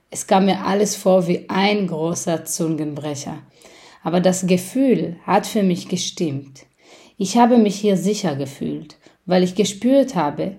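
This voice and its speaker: A woman from Israel, a theatre director, reading a personal letter aloud in an earnest, measured way with small restarts. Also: on the low side, dark tone.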